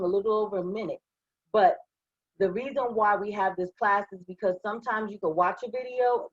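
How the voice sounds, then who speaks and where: Hoarse woman trainer, husky, slightly muffled close mic, upbeat walkthrough voice. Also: medium pitch, no echo. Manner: upbeat, step-by-step, checks in with "okay?". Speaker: online trading trainer. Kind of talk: live screen-share software walkthrough.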